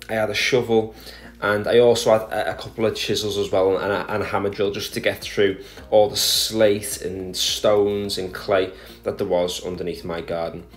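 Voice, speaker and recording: A man narrating a voice-over about his garden project, explaining his steps in a plain, methodical way with speech and small restarts. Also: lowish voice. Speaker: garden DIY vlogger.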